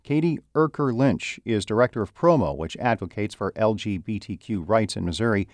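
A man anchoring a radio newscast, reading the news in a steady, formal way. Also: lowish voice, moderate pace, no room sound.

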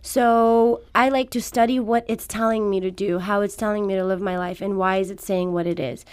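A woman's voice: medium pitch, steady tone, moderate pace, clear, resonant tone. A woman from Afghanistan whose first language is Farsi, talking reflectively as a guest in a radio interview. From born in Afghanistan. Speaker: panel guest, fitness trainer. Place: radio studio.

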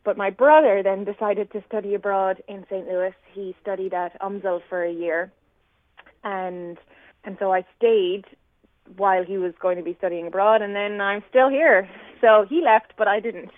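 A woman's voice: medium pitch, fairly even intonation, normal speed, no room sound. An Irish woman from County Clare, a guest musician giving reflective, long explanatory answers in a radio interview. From Ireland, County Clare. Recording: tinny dry phone line.

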